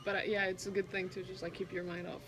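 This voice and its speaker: A woman's voice: fairly low pitch, flat delivery, fast, noisy background. A young woman from Ecuador, a professional golfer, answering interview questions casually with lots of fillers and hesitations. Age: 20s. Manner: hesitant, many fillers, laughs often.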